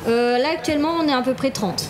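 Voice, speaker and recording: A woman's voice: high voice, fast. A woman with an accent from France giving casual answers as an interview guest. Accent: French from France. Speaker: interviewee, member of a fantasy role-play association. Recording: busy crowd noise around. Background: crowd at a medieval festival.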